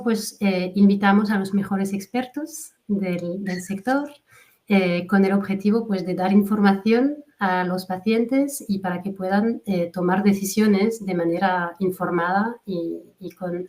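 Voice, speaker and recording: A woman's voice: mid-range voice, steady tone, measured pace, a little mellow. French-accented woman hosting a webinar. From France. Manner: hesitant, many fillers and restarts. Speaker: fertility coach and webinar host. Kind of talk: hosted webinar introduction. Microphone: video call.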